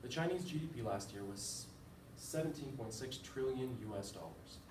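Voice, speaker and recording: A man's voice: mid-range voice, lively intonation, normal speed. A young man from the United States gives a casual, informative class presentation, with a few fillers and self-deprecating asides. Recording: echoey room, far from the mic, with background noise.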